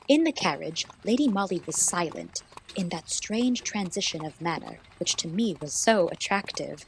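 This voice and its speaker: A woman's voice: medium pitch, natural voice, poor audio. A woman playing a devoted detective's assistant, narrating a radio play in an earnest, literary way.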